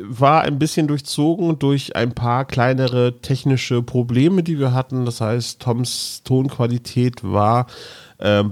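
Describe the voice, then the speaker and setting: A man's voice: mid-range voice, a little mellow. A man hosting a podcast, chatting casually and explaining things in a rambling way. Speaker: podcast host.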